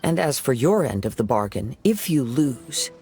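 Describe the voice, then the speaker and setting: Calm young samurai, soothing and measured, slightly gravelly, mid-pitched. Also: male voice, soft background music. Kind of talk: video game voice acting.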